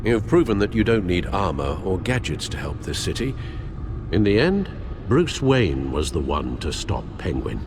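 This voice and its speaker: An actor playing a loyal older butler, formal, measured and grave, weighed down by guilt in a video game drama. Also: male voice, sits low, sing-song, background noise. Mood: gentle concern.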